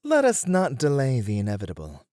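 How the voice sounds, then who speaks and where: A man's voice: mid-range voice, wildly swooping pitch, brisk, darkish tone, clear, resonant tone, no room sound, clean studio sound. A man playing a courtly, playful etiquette tutor in an ASMR roleplay, speaking formally and with elaborate politeness to the listener. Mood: courtly, welcoming.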